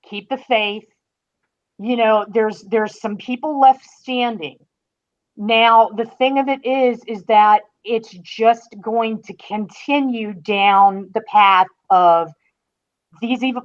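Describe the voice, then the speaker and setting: A woman talks through a TV review as a guest, in an unhurried, emphatic way. She takes her time between phrases. The sound is dull and lo-fi, like a call. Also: a little high. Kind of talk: casual TV review discussion.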